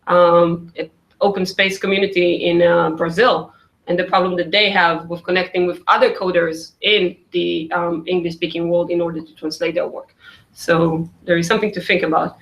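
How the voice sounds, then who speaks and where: A woman's voice: lowish voice, little pitch movement, normal speed, low-quality recording. A woman whose first language is Hebrew, speaking casually on a discussion panel with many "like" fillers.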